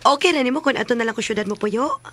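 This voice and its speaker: A woman's voice: a little high, racing, fairly bright tone. A woman acting as a sweet, polite young student girlfriend in a Cebuano radio drama, with a Cebuano Filipino accent. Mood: hesitant. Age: young adult.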